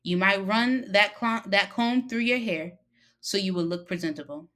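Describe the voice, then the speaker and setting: A woman's voice: mid-range voice, moderate pace, a bit bright, lightly acted. A woman voicing a stern but caring older woman in a story read-aloud, with a firm, folksy, emphatic way of scolding. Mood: reflective, firm.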